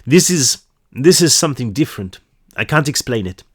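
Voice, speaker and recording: A man's voice: medium pitch, lively intonation, moderate pace, slightly rough, voice pushed up. Man doing a grave Swedish pathologist. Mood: uneasy, grave. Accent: attempted Swedish. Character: tall, grave, shaken male chief pathologist.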